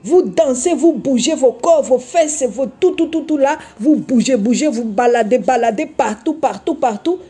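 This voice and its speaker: A woman from Congo delivers a reproachful sermon straight to her viewers. She hesitates and circles back often, her voice husky, very dark and soft in tone, and set slightly high. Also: lively intonation, moderate pace, hardly any pauses, soft background music. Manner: admonishing, repetitive, many hesitations and filler sounds. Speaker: online preacher. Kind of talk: scolding video sermon.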